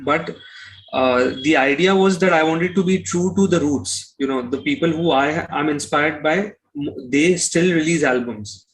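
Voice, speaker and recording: A man's voice: on the high side, a little slow, low-quality recording. A man giving conversational interview answers as a musician, explaining and rephrasing as he goes.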